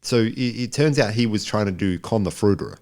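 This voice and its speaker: A man's voice: on the low side, brisk, a little mellow. A man co-hosting a podcast, giving a casual film review in a rambling, jokey way and repeating himself for emphasis. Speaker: podcast co-host.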